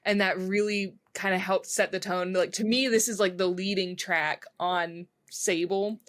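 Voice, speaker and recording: Effusive woman with a mid-pitched voice. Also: fairly even intonation, measured pace, a bit bright. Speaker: podcast co-host. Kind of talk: casual music review chat.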